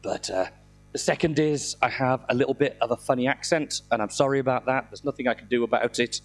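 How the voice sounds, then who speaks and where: A middle-aged man with a slightly croaky voice from a throat infection, giving an informal, self-deprecating technical talk with dry humour into a handheld microphone. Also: medium pitch, quick pace. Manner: self-deprecating, dryly humorous. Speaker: conference speaker. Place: indoor conference room, speaking on stage.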